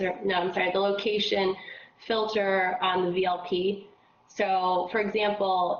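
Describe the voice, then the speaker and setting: Froggy-voiced woman walking through software. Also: mid-range voice, echoey room, highs cut off, slight background noise. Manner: casual, filler-heavy explaining. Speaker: trainer. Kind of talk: casual screen-share training.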